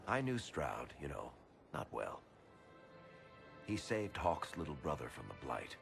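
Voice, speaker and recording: A very deep-voiced, world-weary storyteller in a video game. He is gravelly and wry, his pitch swinging expressively, with prominent music underneath. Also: wildly swooping pitch, measured pace, full character voice. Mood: somber, reflective. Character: weary, wry male storyteller grieving fallen comrades. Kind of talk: video game dramatic acting. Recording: music behind the voice.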